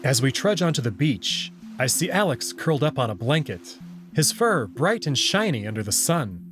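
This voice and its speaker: A man's voice: mid-range voice, very animated, normal speed, fairly bright tone, soft background music. A man reading scripted first-person story narration from a visual novel, with acted dialogue. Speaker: narrator and voice reader.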